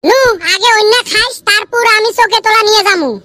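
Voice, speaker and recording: A woman's voice: very high voice, fairly even intonation, fast. A woman voicing a cheeky, blunt village child who teases and bosses friends around in a comic cartoon. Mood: bossy. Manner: mocking, bossy. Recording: music bed under the voice.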